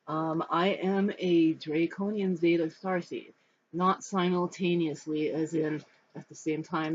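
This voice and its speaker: An American in their fifties from Los Angeles, giving a personal talk to camera in a reflective, halting, rambling manner. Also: medium pitch, a little mellow. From Los Angeles, United States. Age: 50s. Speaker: spiritual storyteller. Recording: dull, muffled sound with background noise.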